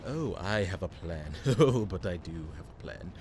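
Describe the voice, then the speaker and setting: A man's voice: low-pitched, expressive, unhurried, darkish tone, soft background music. A man playing a grandiose, irritable supervillain leader who schemes and snaps orders in a comedic radio play. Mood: scheming. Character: bossy, grumpy supervillain leader, scheming and easily exasperated.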